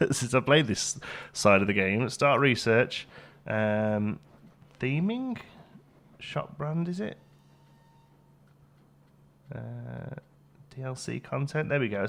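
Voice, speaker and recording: A man's voice: mid-range voice, animated, slow, creaky, a bit bright. A British man hosting a let's play, chatting casually and wryly as he thinks aloud. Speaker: gaming video host.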